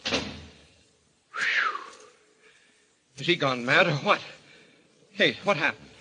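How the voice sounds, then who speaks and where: An actor playing a loyal, disbelieving brother and friend, blunt and urgent, in old radio mystery style. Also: male voice, on the high side, lively intonation, extremely slow, background noise. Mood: alarmed.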